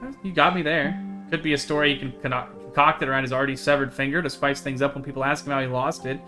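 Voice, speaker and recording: A man's voice: mid-range voice, fairly even intonation, fast, sharp tone, faint music behind, natural voice. A man voicing a chatty, carefree young woman in a visual novel, in a breezy, rambling storytelling manner. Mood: earnest, playful. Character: chatty, carefree young woman who hikes hunting cryptids.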